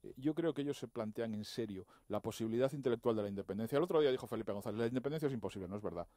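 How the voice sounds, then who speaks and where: A man debating politics as a radio panellist, argumentative and quick to interject corrections. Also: mid-range voice, expressive, warm tone, no room sound, clean studio sound.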